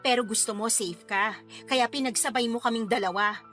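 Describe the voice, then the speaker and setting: A high, emotional voice of a hurt young woman in a Filipino radio drama. She is firm and reproachful with her lover. Soft music plays underneath. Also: normal speed. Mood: bitter, reproachful.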